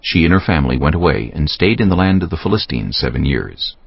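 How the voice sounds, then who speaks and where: A man's voice: extremely low pitch, very animated, quick pace, creaky, slightly rough, no room sound, dull audio. A man giving scripted narration of scripture as an audiobook narrator.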